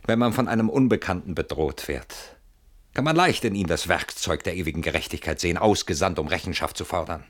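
Low-voiced, brooding anxious man. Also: lively intonation, brisk. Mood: bitter, ironic. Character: anxious, brooding man under threat, defensive and intellectual. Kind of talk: German radio play acting.

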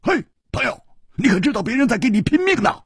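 Man voicing a cold, jealous woman, pitched up high. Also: sing-song, brisk, a bit bright, husky, no room sound, clean studio sound, full character voice. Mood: sharp, challenging. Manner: sneering, challenging. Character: cold, jealous, sharp-tongued woman of martial-arts fiction.

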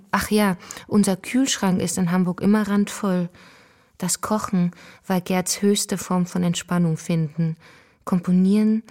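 A woman narrating a radio feature, calmly reading terse notes and letters aloud. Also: mid-range voice. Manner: terse, reads fragmentary keywords.

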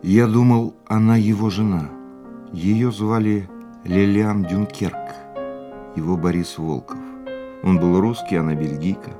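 An actor playing a fatalistic, world-weary racing driver in a Russian radio drama, speaking in a measured, reflective way. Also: male voice, fairly low pitch, steady tone, lightly acted. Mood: weary, philosophical. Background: music.

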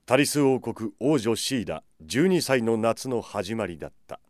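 A man's voice: medium pitch, sing-song, moderate pace, darkish tone, close to an everyday voice. A man performing solemn, stately scripted narration for a fantasy audio drama.

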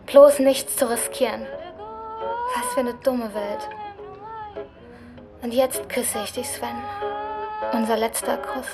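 A young woman in a radio drama, bold and bitter. Her voice sits on the high side. She speaks provocatively, like a schemer who enjoys the drama, with music clearly behind her. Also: expressive, slow pace, pauses often. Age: young adult. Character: bold, bitter nineteen-year-old woman, scheming blackmailer. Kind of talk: German radio drama acting.

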